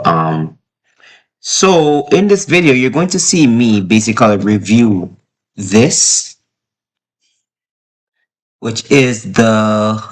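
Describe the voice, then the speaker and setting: A man talking casually to camera as he reviews gear at home, explaining haltingly and repeating phrases for emphasis. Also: on the low side. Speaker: product reviewer. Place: child's bedroom at home.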